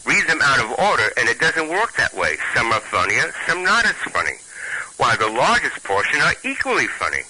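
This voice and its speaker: A man with a Brooklyn accent, a storyteller and stand-up comic, chatting haltingly with many restarts and reading a comic tale aloud. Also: mid-range voice, wildly swooping pitch, sharp tone, slight background noise, poor audio.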